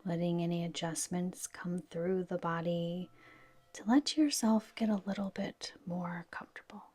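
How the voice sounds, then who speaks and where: Slow, breathy woman guiding meditation. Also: lowish voice, fairly even intonation, no room sound. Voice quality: soft, breathy, whispery. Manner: soothing, gentle, unhurried instruction. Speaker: yoga nidra guide. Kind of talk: guided relaxation meditation.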